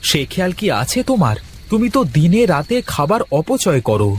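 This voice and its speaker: A man's voice: high voice, very animated, brisk, slight background noise. A man voicing a worried, grumbling village husband in a cartoon, with a grave, admonishing manner. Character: worried, grumbling village husband lecturing his wife on thrift. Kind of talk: Bengali cartoon dubbing.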